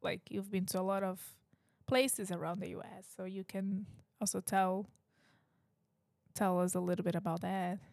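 A woman's voice: medium pitch, steady tone, moderate pace, creaky, a little mellow, no echo. Female co-host, 30s, Brazilian accent, Portuguese native, chatty, dry room. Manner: chatty, lots of "like" fillers. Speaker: podcast co-host. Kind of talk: casual chat.

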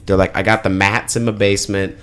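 A man's voice: lowish voice, flat delivery, fast talker, fairly bright tone, clear, resonant tone. A man chatting casually as a co-host on a comedy podcast, joking and telling rambling stories.

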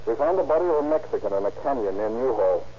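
A man's voice: medium pitch, lively intonation, fast talker, dark tone, close to an everyday voice. Man as 1930s radio detective: businesslike, probing, clipped delivery, muffled recording with light noise. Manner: clipped, methodical questioning. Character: calm, probing male police investigator, businesslike. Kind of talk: 1930s radio crime drama acting. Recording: dull, noisy old radio recording.

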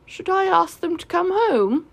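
A woman's voice: very high-pitched, animated, a little slow, vocal fry, pitched above the performer's own voice, full character voice. A woman voicing a simple, earnest male toy bear in a storybook, with a growly, plaintive manner. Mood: excited. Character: slow-witted, earnest, honey-loving male toy bear.

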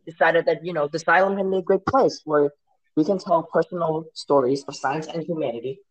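A man from Hong Kong whose first language is Cantonese, speaking as an interview guest in a measured, reflective way with some restarts. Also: fairly high pitch, poor audio. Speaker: guest, science story editor.

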